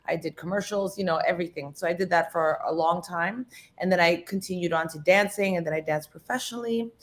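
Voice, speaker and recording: A middle-aged woman from the Philippines talks as a studio guest, telling stories in an animated, chatty way. Her sentences keep running on, loosely strung together with plenty of "you know" and "like". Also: fairly low pitch, a little fast. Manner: animated, storytelling with many "you know" fillers. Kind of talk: casual interview chat. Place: radio studio.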